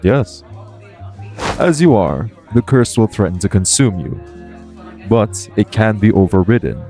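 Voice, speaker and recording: A man playing a composed, formal angel in an audio roleplay, speaking in a measured, persuasive way. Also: fairly low pitch, expressive, dark tone, faint music behind. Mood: calm, persuasive. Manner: formal, measured, solemn recruiter.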